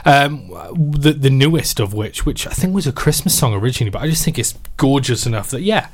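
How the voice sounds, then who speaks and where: A man's voice: medium pitch, lively intonation, brisk, slight background noise. A man presenting a radio show, chatting casually and teasing his guest.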